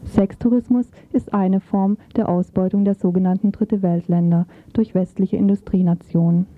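A woman's voice: medium pitch, fairly even intonation, normal speed. A woman reading a scripted report as a radio reporter. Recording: muffled, phone-like narrow band.

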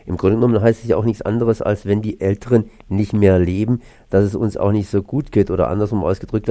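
A man's voice: on the low side, warm tone, dry recording. A man hosting a radio interview, putting questions and comments to a guest in a conversational way.

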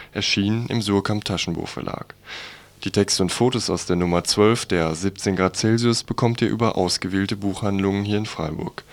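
A man's voice: deep voice, dry recording. A man presenting on radio from a script.

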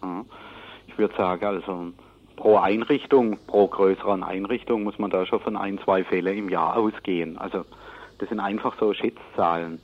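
Male interviewee, fairly low voice, informal and tentative, recorded with dull lo-fi sound. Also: steady tone, moderate pace. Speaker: interviewee from an advocacy group.

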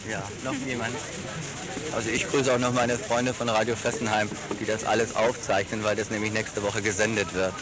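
A man's voice: on the low side, level tone, normal speed, low-quality recording. A male radio reporter reporting live from an outdoor protest site, enthusiastic and addressing the crowd.

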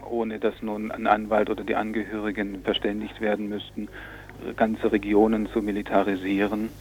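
A man's voice: fairly low pitch, a little hiss or hum. A man explaining things in a radio interview, in long, winding sentences with some hesitation. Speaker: interviewed commentator on Colombian politics.

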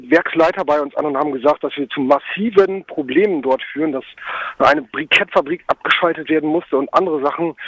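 A man with a fairly high voice gives a spontaneous phone interview as an activist. He speaks hesitantly, with frequent ums and broken-off starts. The line is dull and lo-fi, with little room sound. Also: a bit bright, no room sound. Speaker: climate camp activist being interviewed. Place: at a climate camp, on a mobile phone. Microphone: mobile phone.

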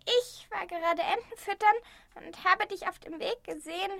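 Kind old grandma for radio play, acted by a woman: very high, bright, gentle and doting. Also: moderate pace, vocal fry, no room sound, exaggerated voice. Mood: fond. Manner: gentle, doting, full of endearments. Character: kind, naive, lonely old grandmother with timid dreams. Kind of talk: German radio play dialogue.

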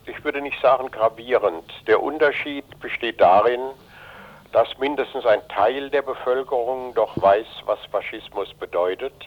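A man's voice: medium pitch, moderate pace. Elderly man on dull lo-fi radio. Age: 80s. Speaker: interview guest, retired journalist and anti-fascist activist. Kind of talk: radio interview, political account.

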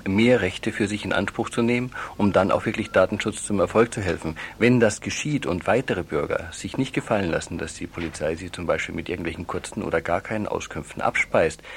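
A man's voice: sits low, slight background noise. A man speaking as a lawyer in a radio interview, explaining at length in formal legal wording and often reworking his phrases as he goes.

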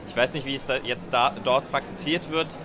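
A man, an activist being interviewed outdoors at a street festival, speaking in a halting way with frequent fillers. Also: medium pitch, steady tone, noisy background. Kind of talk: casual spoken interview. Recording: loud crowd around.

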